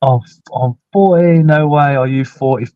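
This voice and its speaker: A man's voice: medium pitch, normal speed, warm tone, no room sound, dull audio. A man, hosting a casual live stream, reading out comments and swearing casually. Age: 40s. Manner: chatty, swears casually, laughs often. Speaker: livestream host.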